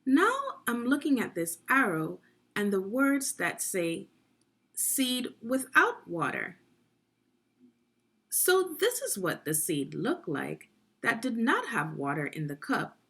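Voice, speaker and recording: A woman's voice: mid-range voice, sing-song, takes it slowly, vocal fry, a bit bright, slightly rough. A woman leading a guided read-aloud of a children's science book, teaching and prompting young listeners with questions.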